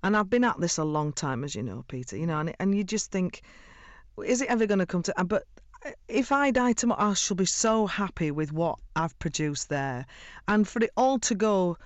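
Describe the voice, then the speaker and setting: A woman's voice: on the low side, lively intonation, a little fast, dry recording. A middle-aged woman from Sheffield, an effusive singer chatting in a radio interview and laughing often. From Sheffield, England.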